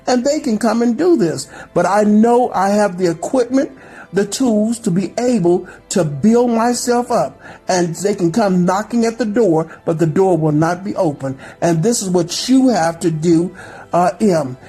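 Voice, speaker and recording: A woman in her thirties talks earnestly to a listener, urging them not to give up and repeating her phrases for weight. Her voice is husky and breathy at a middling pitch. Faint music plays behind her. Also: dark tone. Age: 30s. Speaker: spiritual group team member. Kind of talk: encouraging monologue.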